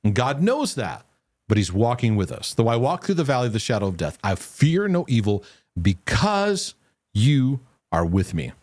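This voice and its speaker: A man's voice: medium pitch, very animated, normal speed, a little mellow, no room sound, clean studio sound. A man hosting a live question-and-answer broadcast, giving earnest, reassuring faith-based teaching. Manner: earnest, reassuring, lots of restarts. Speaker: host.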